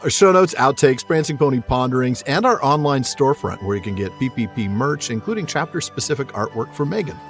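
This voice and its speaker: A man's voice: medium pitch, animated, a little fast, hardly any pauses, soft background music. A man co-hosting a podcast in a casual, jokey way, moving between banter and sign-off. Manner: jokey, laughs often while talking. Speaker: podcast co-host.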